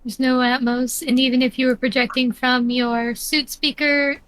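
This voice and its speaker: A woman's voice: high-pitched, flat delivery, moderate pace, fairly bright tone, smooth, poor audio. A woman running a tabletop role-playing game as game master, explaining rules and describing scenes in a matter-of-fact way.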